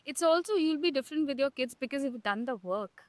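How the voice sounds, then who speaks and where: A young adult woman hosts a frank podcast chat, her voice set high. She talks emphatically and bluntly, dropping swear words for punch. The sound is dry and close, with almost no room echo. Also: lively intonation, fairly quick. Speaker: podcast host. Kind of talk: candid conversational podcast talk.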